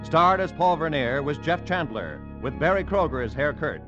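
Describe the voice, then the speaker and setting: A man as a formal radio announcer reading credits and promotions in a crisp, measured way. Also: a little high, very animated, music in the background. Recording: muffled old radio broadcast.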